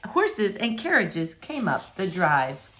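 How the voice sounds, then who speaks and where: A woman reading rhyming children's fiction aloud in an expressive, theatrical, emphatic way. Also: mid-range voice, slow pace. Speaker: storybook reader. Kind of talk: animated, rhyming children's story narration.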